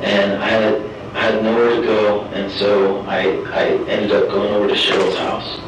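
A man in his forties, an inmate speaking at a parole hearing, halting and soft-spoken, often repeating himself. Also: fairly low pitch, completely flat delivery. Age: 40s. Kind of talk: formal hearing testimony. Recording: echoey distant room with noise.

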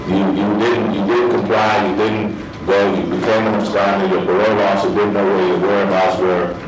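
A man chairing a parole board hearing, speaking unscripted in a procedural, halting way and repeating himself. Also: lowish voice, level tone, music in the background. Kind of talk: formal hearing questioning, unscripted. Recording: echoey, distant microphone.

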